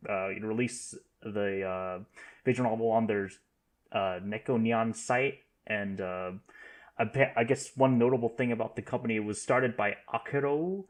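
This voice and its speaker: A man hosting a podcast, casually going through news in a halting way, often correcting himself. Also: fairly low pitch. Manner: halting, self-correcting delivery. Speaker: podcast host.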